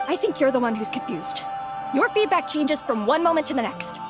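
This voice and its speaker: A woman playing an overworked young female office worker with a buried temper in an animated game cutscene, earnest and emotive. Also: high-pitched, a little fast. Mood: fed up, angry. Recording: music behind the voice.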